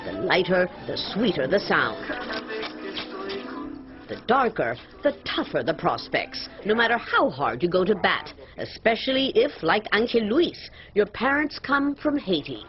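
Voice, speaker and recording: A female news correspondent narrating a scripted report in a measured, expressive way. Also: medium pitch, muffled recording, faint music behind.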